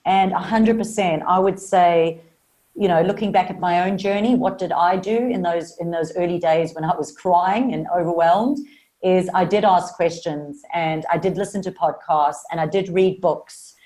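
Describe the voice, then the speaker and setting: A woman with a South African Australian accent talks as a podcast guest about marketing. Her voice sits a little low, and she speaks in an earnest, upbeat, encouraging way. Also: normal speed, mellow, low-quality recording. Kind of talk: podcast interview.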